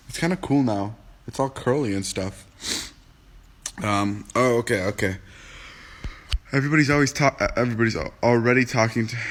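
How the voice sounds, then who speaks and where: A man's voice: fairly low pitch, a bit bright. A young man in his twenties, just woken up and groggy, chatting casually on a phone livestream from home, rambling and joking as he answers comments. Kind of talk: casual livestream chat to viewers. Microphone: phone livestream app.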